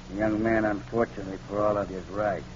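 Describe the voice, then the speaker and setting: An actor playing a menacing, gloating gangster with a mock-polite, leisurely manner in a 1940s radio crime drama. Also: male voice, on the low side, flat delivery, brisk, natural voice. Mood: smug menace. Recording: dull, noisy old radio recording.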